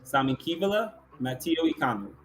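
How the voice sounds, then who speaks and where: A man's voice: fairly high pitch, big pitch swings, a little fast, slight background noise. A man hosting an awards ceremony, announcing categories and finalists and reading out remarks.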